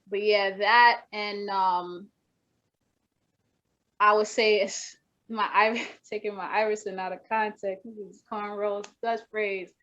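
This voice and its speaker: Brief: young woman, soft voice, flat delivery, halting and rambling, lo-fi video call audio. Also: mid-range voice. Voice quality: naturally soft voice. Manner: rambling, many restarts and fillers. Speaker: rapper guest. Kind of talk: casual video-call interview. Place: home room with wooden shelves.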